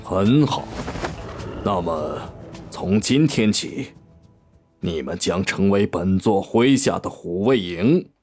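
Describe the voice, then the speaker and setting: Slow, imperious lion demon king. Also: on the low side, a little mellow, slightly rough. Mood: smugly pleased. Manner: pompous, commanding, drawling. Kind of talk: fantasy audio drama acting.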